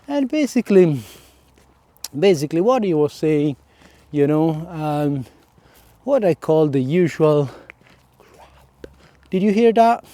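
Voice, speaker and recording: A man with an Italian accent speaks in a slow, unhurried way, his voice sitting a little high for a man. His tone is warm and mellow. He talks hesitantly to his followers, filling pauses and tagging "okay?" onto his points. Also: animated, no echo. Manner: hesitant, many fillers and "okay?" checks. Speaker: vlogger. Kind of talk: casual solo vlog.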